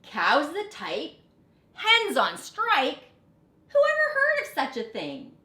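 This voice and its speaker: Storybook farmer: woman plays indignant old man, exasperated and loud, pitch swooping up, in a roomy space. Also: wildly swooping pitch, slow pace, exaggerated voice. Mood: outraged disbelief. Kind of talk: picture-book read-aloud. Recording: roomy echo, background noise.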